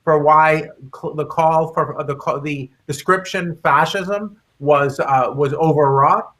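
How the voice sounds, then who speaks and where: A man speaking as a guest author in a public discussion, explaining his points haltingly and often repeating words. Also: fairly high pitch, fairly bright tone.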